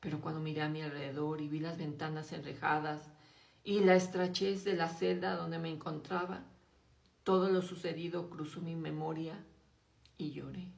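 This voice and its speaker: A woman reading a classic novel aloud in a slow, hushed, dramatic way, dropping her voice low on grim passages. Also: fairly even intonation, creaky, echoey room. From Mexico. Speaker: audiobook reader.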